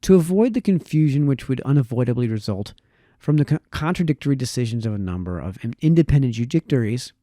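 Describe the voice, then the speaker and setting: Mellow man reading aloud, dry sound. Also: medium pitch, animated, no echo. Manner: measured, formal read with occasional stumbles. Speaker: reader. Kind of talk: reading aloud a historical political text.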